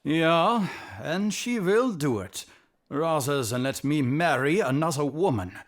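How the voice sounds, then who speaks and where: A man playing a proud, anxious king in an audio drama, with a pompous, formal and sometimes halting delivery. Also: a little high, lively intonation. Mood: worried.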